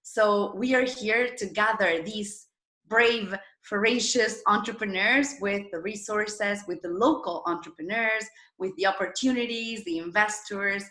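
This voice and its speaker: Bright, echoey woman, enthusiastic. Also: medium pitch, unhurried. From Argentina. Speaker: interview guest, startup founder and former journalist. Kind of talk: conversational interview storytelling. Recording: roomy, echoing sound.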